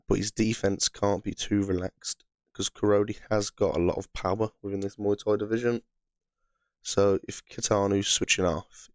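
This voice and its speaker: A croaky man who is losing his voice talks through fight picks in a relaxed, chatty way, dropping "right" as a filler. His voice sits very low, and the sound is close and dry with no room around it. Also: no room sound. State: hoarse, under the weather. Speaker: MMA channel host. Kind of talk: casual fight predictions monologue.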